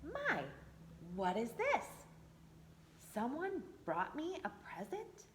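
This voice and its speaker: Brief: woman reading as an eager young wooden villager, high, lively storybook voice. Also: slow, a little hiss or hum, exaggerated voice. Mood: delighted. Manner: delighted, wondering.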